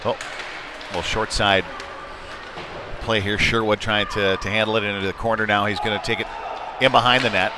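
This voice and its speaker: A man's voice: lowish voice, flat delivery, normal speed, long pauses. A man doing live play-by-play commentary of a youth hockey game in a conversational, somewhat hesitant way. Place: indoor ice hockey rink. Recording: noisy ice rink ambience. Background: clear rink noise and ambience.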